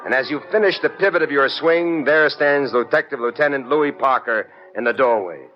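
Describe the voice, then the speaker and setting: A man's voice: mid-range voice, normal speed, close to an everyday voice. A man acting a brash, wisecracking American private eye in an old radio detective drama, tough and slangy as he tells his case. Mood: hard-boiled narrating. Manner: tough, slangy, hard-boiled. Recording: muffled old radio recording with music.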